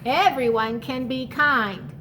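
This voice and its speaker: A woman reading a picture book aloud to young children, pausing to explain it simply and speaking to the listener in an encouraging way. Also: sits high, noisy background. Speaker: storytime reader for children.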